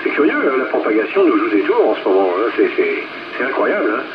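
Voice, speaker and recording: A man's voice: mid-range voice, very animated, quick pace. A man chatting casually as a radio operator over a two-way radio transmission. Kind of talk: casual radio contact chat. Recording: muffled, very noisy radio transmission.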